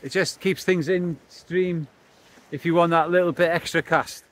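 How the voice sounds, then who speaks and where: A man's voice: on the high side, fairly even intonation, moderate pace, fairly bright tone. A middle-aged man chatting to the camera on a pebbly beach by the sea, explaining his fishing as he works. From Wales. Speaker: fishing vlogger. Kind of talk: casual chat to camera. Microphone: camera close up, held or on a stand.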